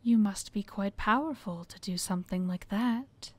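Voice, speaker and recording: An actress voicing a gentle goddess who mediates, measured, earnest and conciliatory, in an audio drama. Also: female voice, mid-range voice, lightly acted. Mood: defending.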